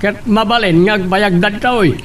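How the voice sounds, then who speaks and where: A man's voice: high voice, fairly even intonation, moderate pace, natural voice. A man playing a scheming, threatening villain in an Ilocano romance audio drama, with noise behind him. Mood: menacing.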